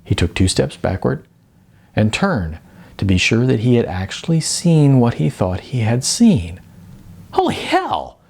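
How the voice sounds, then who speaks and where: A man reading a novel aloud with an expressive storytelling delivery. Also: medium pitch, unhurried, creaky, breathy edge. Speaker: audiobook narrator. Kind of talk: scripted narration of a novel.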